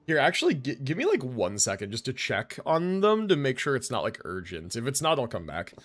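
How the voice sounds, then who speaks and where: A man's voice: mid-range voice, wildly swooping pitch, fairly quick. A man chatting casually and informally as he livestreams a game, rambling in slangy speech. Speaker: live streamer. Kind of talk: casual chat while livestreaming gameplay.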